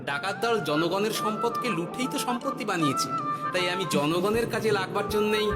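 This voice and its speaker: An actor playing a clever, generous cartoon village wit who talks in an assured, explanatory and courteous way. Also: male voice, high voice, moderate pace, lightly acted. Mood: earnest, generous. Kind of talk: cartoon voice acting. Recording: loud music behind the voice.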